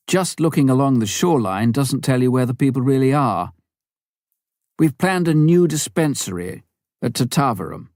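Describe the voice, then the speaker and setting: A man narrating a missionary memoir as an audiobook, in a measured storytelling manner with careful phrasing. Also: lowish voice, darkish tone, no room sound, studio quality.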